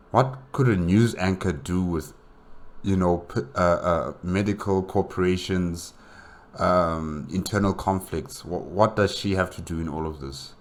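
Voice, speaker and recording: A man giving casual, unscripted reaction commentary, musing in a hesitant, halting way. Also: deep voice. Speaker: reaction video host.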